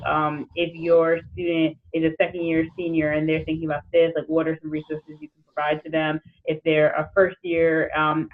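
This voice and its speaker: A man casually explaining ideas to colleagues on a work conference call, heard over a phone line. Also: fairly high pitch. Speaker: committee member.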